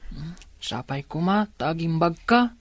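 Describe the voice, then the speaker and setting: A woman's voice: low-pitched, animated, a little slow, no echo. A woman with an Ilocano accent playing a worried, devoted child of an ailing mother in a radio drama, tentative and plaintive. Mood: encouraging.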